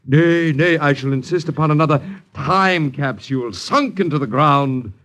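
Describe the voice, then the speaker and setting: A man's voice: a little high, normal speed, fairly bright tone, close to an everyday voice. An American man acting a sardonic, wordy boarder in a 1950s radio drama, with a theatrical, mocking manner. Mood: grandly ironic. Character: sardonic, wordy male boarder mocking his miserly landlords. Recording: 1950s radio broadcast recording.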